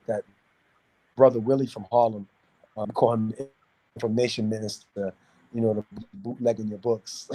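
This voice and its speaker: A man from New City, talking casually and giving opinions in a halting way, repeating words as he searches for phrasing. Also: on the low side, little pitch movement, dark tone, rough recording. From New York City, United States. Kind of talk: casual discussion, opinionated talk.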